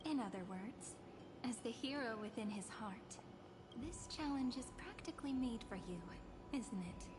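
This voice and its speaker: An actress voicing a playful, expressive young female adventurer in an anime-style fantasy video game. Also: on the high side, normal speed, background noise, close to an everyday voice. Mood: teasing.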